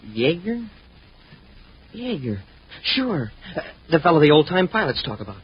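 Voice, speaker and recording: An actor playing an eager, deferential young space cadet with a boyish, hesitant manner, in 1950s radio drama style. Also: male voice, on the high side, very animated, moderate pace, slightly rough, heavily acted. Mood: wondering. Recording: muffled, noisy vintage radio recording.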